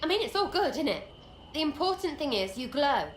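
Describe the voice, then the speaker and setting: A woman's voice: high voice, very animated, brisk. A woman playing a cheeky young English commoner rogue in a video game, slangy, blunt, mocking and rambling. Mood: cheeky, brash. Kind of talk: video game dialogue acting. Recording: roomy echo, faint background noise.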